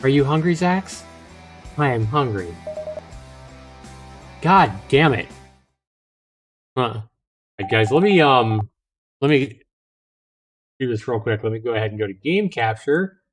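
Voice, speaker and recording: A middle-aged man hosts a game livestream, reading story text aloud at a slow, unhurried pace. He stumbles and rereads now and then and drops in casual asides to his viewers. Faint music plays behind his medium-pitched voice. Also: lively intonation. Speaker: livestream host.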